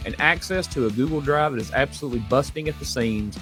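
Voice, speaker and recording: A man's voice: mid-range voice, fast, a bit bright. A man delivering a scripted promotional announcement for podcasts. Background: guitar music.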